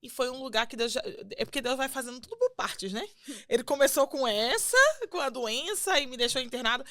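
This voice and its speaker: A young Brazilian woman talks through her faith story in a casual podcast chat, earnest and lively, slipping into quoted voices. Her voice sits a little high, and the sound is close and dry. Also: fairly quick, dry recording. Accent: Brazilian Portuguese. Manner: earnest, animated retelling with acted quotes. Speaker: podcast guest, singer.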